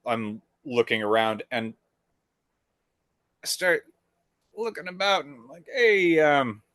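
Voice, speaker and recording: A man co-hosting a podcast, telling an animated story in casual chat and acting out the dialogue. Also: medium pitch, no room sound. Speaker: podcast co-host.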